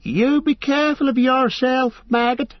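A man voicing an anxious middle-aged hobbit farmwife, shrill and scolding. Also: steady tone, measured pace, dry recording, dull audio. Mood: fretful.

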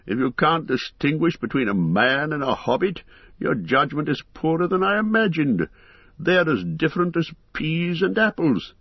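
Old hobbit poet, chatty and wry, brisk banter, read by a man; husky, dull dry audio. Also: fairly high pitch, sing-song, no room sound, voice pushed up, full character voice.

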